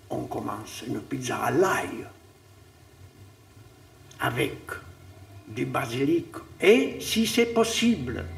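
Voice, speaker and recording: An older man looks back on his years as a pizza maker, speaking slowly and reminiscing. His voice is gravelly and rises and falls a lot. He is heard a little off the mic. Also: medium pitch, a bit bright, distant mic. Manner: slow, reminiscing. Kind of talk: casual interview.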